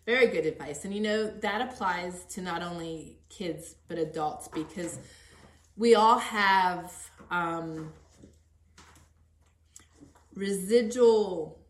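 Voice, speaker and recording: A woman with a fairly low voice talks as a podcast host in a very roomy, echoey space. She is earnest and encouraging, speaking conversationally as she interviews. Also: slow pace.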